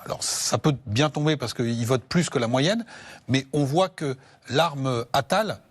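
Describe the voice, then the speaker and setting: A man giving political analysis slowly, with many hesitations. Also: medium pitch. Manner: slow, hesitant with fillers.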